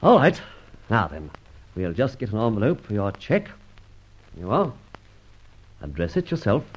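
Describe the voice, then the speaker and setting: An actor playing a suave, teasing gentleman adventurer in an old radio serial, pompous and flippant with mock courtesy. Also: lowish voice, sing-song, moderate pace, husky. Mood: amused. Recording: dull old radio recording.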